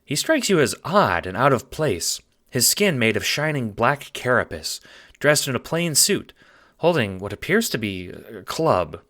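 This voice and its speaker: A man's voice: medium pitch, sing-song, a little slow, a bit bright. A man reading scripted second-person narration for an audio drama.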